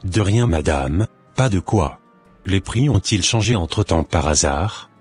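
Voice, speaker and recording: A man's voice: low-pitched, expressive, a little slow, a little mellow, slightly rough, soft background music, heavily acted. A man voicing a courteous, friendly shop customer in a cartoon, speaking politely.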